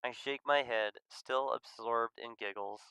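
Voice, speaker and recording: A man reading a visual novel aloud as expressive first-person story narration. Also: mid-range voice, unhurried, gravelly, smooth, dry recording, poor audio. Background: music.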